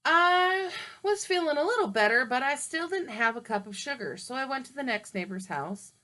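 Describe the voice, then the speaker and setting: A woman's voice: sits high, expressive, normal speed, pushed and bright, rough recording. A woman voicing a male wolf who narrates his own version of a storybook in a chatty, persuasive way. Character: smooth-talking male wolf telling his side.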